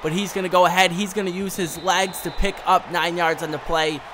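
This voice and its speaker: A young man with a hoarse voice from a cold, doing upbeat gameplay commentary straight to his viewers. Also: high voice, steady tone, background noise. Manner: upbeat, fast-talking, speaks straight to viewers. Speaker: gaming YouTuber. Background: background music.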